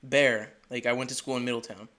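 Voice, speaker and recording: 19 yr old guy from delaware, excitable interviewer, says like constantly, average pitch. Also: fairly quick. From Delaware, USA. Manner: rambling, full of "like", excitable. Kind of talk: casual interview chat.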